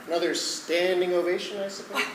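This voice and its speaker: A man's voice: high-pitched, steady tone, a little fast, close to an everyday voice. A man on stage playing a doubtful, questioning actor in a comedic play about a rehearsal. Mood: skeptical. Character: skeptical actor questioning an absurd rehearsal script. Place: live stage at a one-act play festival. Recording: roomy stage sound with noise.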